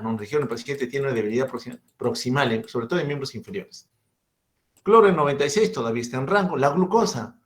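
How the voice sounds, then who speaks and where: A man in his fifties with a Peruvian Spanish accent, giving a medical lecture and asking and answering his own questions. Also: medium pitch. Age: 50s.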